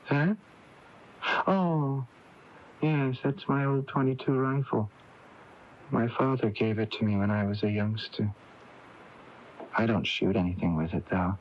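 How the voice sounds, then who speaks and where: A male actor as an odd, withdrawn young man in a radio mystery. He speaks slowly, stammers and halts, and carries an unsettling lovesick intensity. The recording is dull and noisy, like an old off-air tape. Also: mid-range voice, steady tone, natural voice. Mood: eerily calm menace. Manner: halting, stammering. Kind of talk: radio mystery drama acting.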